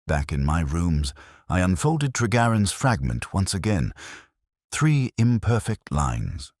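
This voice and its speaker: A man acts an erudite, brooding detective of older years in an audio drama. His pitch is extremely deep, yet it rises and falls expressively through solemn, literary narration. The sound is dry, with no room around it. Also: big pitch swings, a little mellow, no room sound, heavily acted. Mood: brooding, reflective. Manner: literary, measured, solemn, formal. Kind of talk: scripted first-person mystery narration, audio drama.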